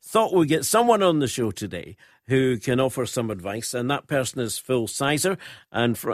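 A man's voice: medium pitch, no room sound, studio quality. A man presenting a radio phone-in as its host. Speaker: radio talk show host. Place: radio studio.

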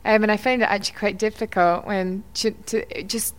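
A woman's voice: medium pitch, fairly even intonation, fairly quick, rough recording. A young woman, a musical theatre singer, answering backstage questions with short, agreeable replies. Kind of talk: casual interview chat. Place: backstage room.

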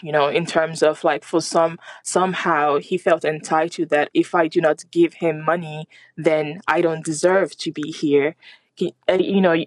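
Young woman, French accent, radio guest; mid pitch, halting, filler-heavy, dry close mic. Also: no room sound. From Nigeria. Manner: halting, many fillers and restarts. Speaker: guest journalist. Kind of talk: radio interview.

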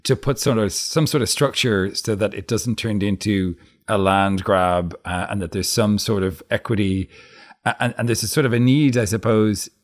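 This is a man with a fairly low voice, hosting a radio interview. He asks his questions in a relaxed, conversational way. He thinks aloud as he frames each one. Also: normal speed. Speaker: radio host.

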